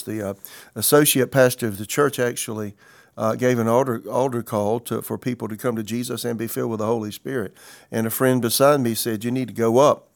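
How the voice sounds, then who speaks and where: A pastor in his sixties delivers a podcast sermon with a gravelly, mid-pitched voice. He explains scripture in an earnest, conversational way and reads verses aloud between his own remarks. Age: 60s.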